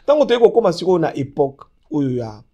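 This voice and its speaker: A man's voice: a little high, sing-song, brisk, dark tone. A Congolese man with a Congolese French accent, a talk show guest explaining a law emphatically and mixing in Lingala. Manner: mixes French and Lingala, emphatic, repeats fillers when searching for words. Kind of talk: political talk show discussion.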